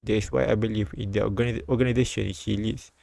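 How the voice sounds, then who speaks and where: A man streaming a live read-aloud of a visual novel, halting and repeating words as he goes. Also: fairly low pitch, steady tone, gravelly, darkish tone, no echo.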